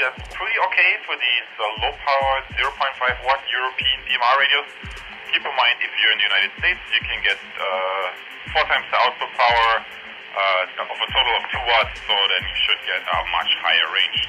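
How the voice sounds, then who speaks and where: A man giving casual range test commentary over a walkie-talkie, repeating his phrases deliberately as a product reviewer. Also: mid-range voice, music in the background, poor audio. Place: outdoors, walking along suburban roads.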